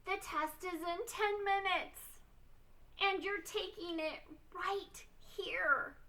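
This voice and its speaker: Woman voicing an emotional schoolmaster, high and bright. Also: steady tone, slow pace, exaggerated voice. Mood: grave. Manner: sighing, then exclaiming and declaring. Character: gloomy man, a school head, who turns jubilant.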